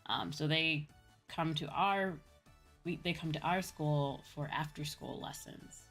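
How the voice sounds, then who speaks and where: A woman's voice: low-pitched, fairly even intonation, a little slow, fairly bright tone. A woman livestreamer chatting casually with viewers, explaining things conversationally and often repeating her points. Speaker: streamer, VTuber host chatting with viewers. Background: background music.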